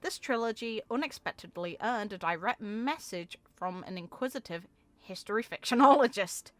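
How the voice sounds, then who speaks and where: A woman reading game story text aloud in an expressive way, with casual, informal asides of her own. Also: medium pitch, moderate pace, soft background music. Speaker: video game content creator.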